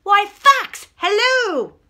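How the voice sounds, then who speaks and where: Woman voicing a bright, boastful little storybook mouse. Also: very high voice, big pitch swings, extremely slow, pitched above the performer's own voice, heavily acted. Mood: bold, cheery. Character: small, cunning, boastful mouse, bragging he is scary. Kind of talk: children's storybook read-aloud.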